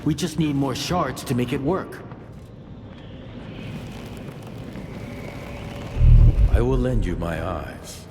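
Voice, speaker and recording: An actor voicing a calm spirit guide in a video game, with a measured, formal delivery. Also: male voice, medium pitch, natural voice. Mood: solemn, assured. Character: calm male spirit guide of a magic gauntlet. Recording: long reverb, loud music behind.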